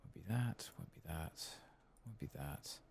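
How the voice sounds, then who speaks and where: Soft spoken asmr gamer guy, real low voice w lots of fry, murmuring over noisy game ambience. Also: expressive, measured pace. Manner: murmuring to himself, reading text aloud. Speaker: ASMR gaming channel host.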